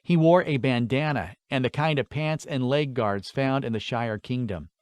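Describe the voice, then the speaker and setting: A man's voice: mid-range voice, moderate pace, a little mellow, clear, resonant tone, no echo, clean studio sound. A man narrating a scripted fantasy story as an audiobook reader.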